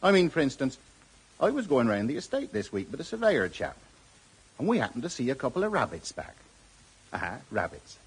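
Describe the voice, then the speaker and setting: Husky, folksy West Country storyteller. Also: male voice, mid-range voice, huge pitch swings, brisk, long pauses, heavily acted, poor audio. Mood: folksy, musing. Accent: rural English West Country. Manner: chatty, homespun, rambling rustic. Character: folksy old-fashioned countryman narrating village news. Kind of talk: radio drama storytelling monologue.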